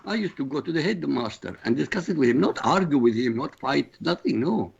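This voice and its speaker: A man from Iraq whose first language is Arabic, a show regular, giving blunt, forceful opinions on talk radio. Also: on the high side, big pitch swings, fairly quick, creaky, muffled recording, a little hiss or hum.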